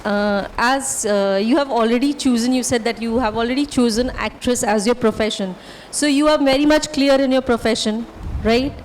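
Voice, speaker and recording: A woman panelist giving a student steady, reassuring advice. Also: fairly high pitch, normal speed, long reverb tail, a little hiss or hum, rough recording.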